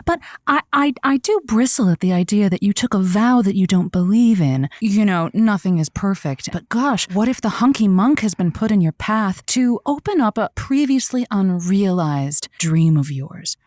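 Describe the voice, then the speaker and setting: Actress as lovelorn radio hostess: breathlessly romantic, highly animated pitch, dramatic delivery, close dry sound. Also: female voice, moderate pace, hardly any pauses, no echo, close to an everyday voice. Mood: intrigued, dramatic. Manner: dramatic, effusive. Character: woman radio advice host, theatrical, breathlessly romantic, easily flustered. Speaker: radio call-in host. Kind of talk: scripted audio comedy acting.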